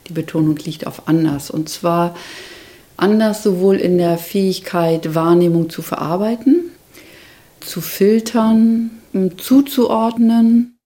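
Measured woman therapist, low mellow voice. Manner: measured, explanatory. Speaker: child and youth therapist. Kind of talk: interview answers.

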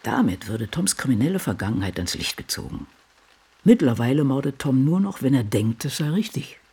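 Brooding diarist, very lively pitch. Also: male voice, a little fast, husky, exaggerated voice. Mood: pondering. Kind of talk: literary radio play monologue.

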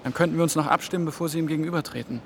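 A man's voice: a little high, racing, slight background noise, close to an everyday voice. An actor playing a young male EU trainee, tense and worried, musing to himself and talking politely on the phone. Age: young adult. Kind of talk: German TV drama acting.

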